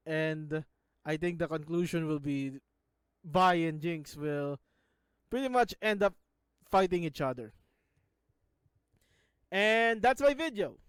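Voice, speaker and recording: A man's voice: fairly high pitch, clear, resonant tone, no room sound. A man from the Philippines with a Filipino accent, talking casually in a video as he analyzes scenes, often stopping to restart words. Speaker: YouTuber analyzing a show.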